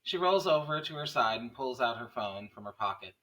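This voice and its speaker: A man's voice: medium pitch, expressive, a little fast, fairly bright tone, low-quality recording. A man reading scene headings and stage directions as narrator at a horror script table read.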